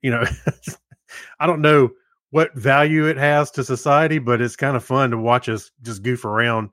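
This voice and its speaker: A man chats informally as co-host of a podcast. He is relaxed and inclined to joke, with a mid-range male pitch. The recording is dry, free of room echo. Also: measured pace. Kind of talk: casual chat.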